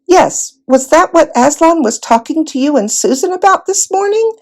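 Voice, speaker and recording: A woman's voice: sits high, animated, normal speed, darkish tone, voice pushed up. A woman voicing a curious, tender young girl in a storybook, questioning and sympathetic.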